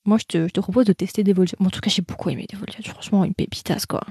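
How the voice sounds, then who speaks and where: Fast-talking young woman, mellow husky voice. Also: mid-range voice, fairly even intonation, no room sound, clean studio sound. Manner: chatty, slangy, many fillers and restarts. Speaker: host of a live chat about Korean dramas. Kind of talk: casual live-stream chat with viewers.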